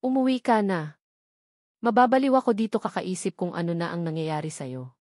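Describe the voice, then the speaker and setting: A woman's voice: lowish voice, moderate pace, a little mellow, no room sound, studio quality, close to an everyday voice. A woman voicing a worried, tearful mother pleading with her daughter in a story narration.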